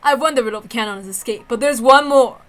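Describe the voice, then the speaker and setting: A woman's voice: on the high side, fast talker, a bit bright, natural voice. A woman playing a proud, composed female witch who duels with formal, taunting self-assurance in a dramatic visual novel reading. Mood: composed challenge.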